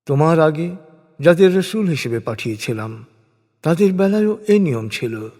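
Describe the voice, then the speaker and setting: A man reading a scripture translation as solemn, scripted religious narration, in a measured, declamatory way. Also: a little high, expressive, a little mellow. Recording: long cavernous reverb.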